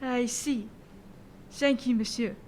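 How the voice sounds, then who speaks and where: Young-sounding woman as high-voiced French police prefect. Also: steady tone, a little mellow, slight background noise. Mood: measured, formal. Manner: formal, measured questioning. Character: stern, fair-minded French prefect of police presiding over a trial. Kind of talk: student radio drama acting.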